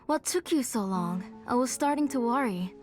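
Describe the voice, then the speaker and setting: A woman voicing a gentle, caring mother who cooks for her guests, with game music behind. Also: on the high side, lively intonation, normal speed, close to an everyday voice. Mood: welcoming. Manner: soft and welcoming.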